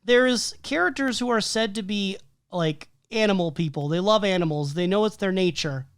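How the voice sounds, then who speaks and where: A man's voice: high-pitched, normal speed, smooth, dry recording, studio quality. A man co-hosting a podcast, reading prepared film analysis in a methodical way while losing his voice. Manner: methodical, listing details with frequent "um" and "like". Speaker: podcast co-host.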